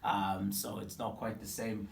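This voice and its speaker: A man in his twenties from Tanzania, telling his story in a casual interview, animated and full of fillers and restarts. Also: fairly low pitch, measured pace, vocal fry, noisy background. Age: 20s. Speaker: business owner interviewed. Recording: echoey room, mic at a distance, faint ambience.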